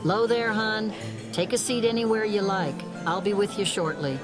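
A man's voice: high-pitched, normal speed, pitched above the performer's own voice, close to an everyday voice. A man voicing a cheerful graying waitress with a weary folksy drawl, over music. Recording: music bed underneath.

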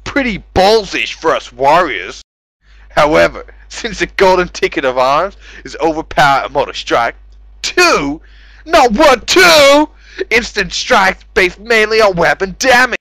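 A man's voice: high-pitched, sing-song, measured pace, pushed and bright, no room sound, low-quality recording. A man doing a sarcastic, exasperated, profane dramatic reading of forum posts, exaggerating as he goes. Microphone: close mic on gaming voice chat.

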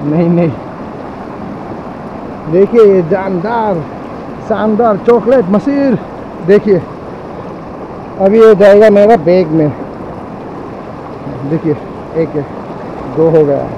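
A man's voice: high voice, background noise. A man, giving casual, exclamatory vlog commentary outdoors, often repeating his phrases. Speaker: vlogger. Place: outdoors on a rocky riverbank. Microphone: handheld camera microphone. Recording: dull audio, loud rushing river water. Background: rushing river water.

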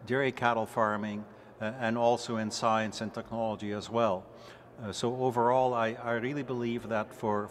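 An older man with a Dutch accent, a professor giving a measured on-camera statement into a handheld mic, pausing often and repeating words as he searches for them. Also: lowish voice, a little hiss or hum. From Netherlands. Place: large indoor hall with wood panelling. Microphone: handheld mic held close. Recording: reverberant hall with faint ambience.

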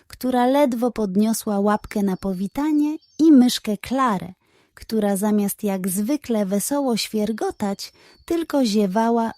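A woman storyteller narrating a scripted children's bedtime tale in a gentle, expressive manner. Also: on the high side, no room sound.

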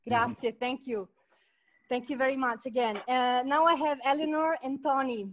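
A high-voiced woman hosts a live cooking class over a video call. She laughs easily and trips over her words now and then. The sound is dull and lo-fi, like a laptop mic. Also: fairly even intonation, slight background noise. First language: Italian. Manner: warm, laughs often, halting restarts. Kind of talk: video call group chat. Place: home kitchen.

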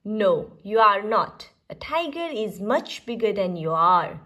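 A woman's voice: medium pitch, sing-song, unhurried, sharp tone. A woman reading a picture book aloud expressively for young children, as a storyteller.

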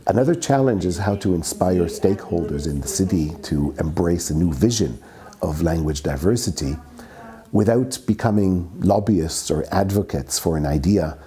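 A middle-aged man, a researcher, giving a formal, measured talk at a lectern in a seminar room. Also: deep voice, lively intonation, dark tone.

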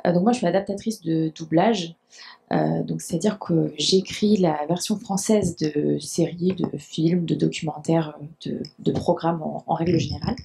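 A woman's voice: deep voice, fairly even intonation, moderate pace, gravelly, dark tone, a little hiss or hum. A woman in her thirties speaking on a university panel with a handheld mic, explaining her work in a hesitant way with many fillers. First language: French. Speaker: dubbing adapter speaking on a panel. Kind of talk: conference panel talk. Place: university lecture hall.

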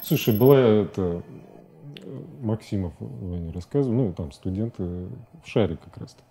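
Middle aged guy in a studio interview, really mellow lowish voice, keeps hunting for words. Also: vocal fry, husky. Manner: hesitant, halting, searching for words. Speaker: guest, animation director. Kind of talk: casual interview talk. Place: TV studio, seated at desk.

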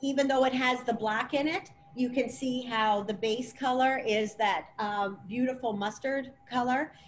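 A middle-aged woman presenting as a stylist in an informal webinar, explaining in a halting way over a video call. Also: on the high side, fairly even intonation. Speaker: colour analysis stylist presenting. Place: clothing boutique, indoors. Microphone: laptop or tablet on video call. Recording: video call with clear background noise.

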